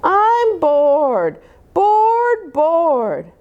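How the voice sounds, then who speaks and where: A woman voicing a little neighbour girl in a children's storybook, with a drawn-out, singsong way of talking. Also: very high voice, wildly swooping pitch, darkish tone, lo-fi sound, pitched above the performer's own voice, full character voice. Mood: whiny boredom. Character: little neighbour girl, easily bored, then wonderstruck and giggly.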